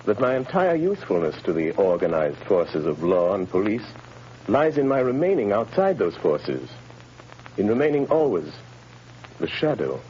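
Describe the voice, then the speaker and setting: A smooth lively gentleman hero, lowish voice, old muffled radio. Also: normal speed, a little hiss or hum. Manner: suave, earnest, persuasive. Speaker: lead character, wealthy crime fighter. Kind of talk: 1930s radio drama acting. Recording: muffled 1930s radio broadcast.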